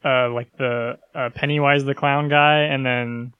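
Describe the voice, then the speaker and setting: A man's voice: mid-range voice, flat delivery, measured pace, clear, resonant tone, no echo, phone-quality sound. A young man co-hosting a casual comedic podcast, laughing often and teasing his co-host with playful questions.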